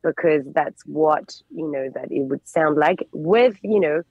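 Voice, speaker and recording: A woman's voice: deep voice, a little slow, husky, dry recording, phone-quality sound. A woman in her forties with a mixed French and English accent, chatting as a guest and searching for words. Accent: French with English mix. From France. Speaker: actress guest. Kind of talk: radio interview chat.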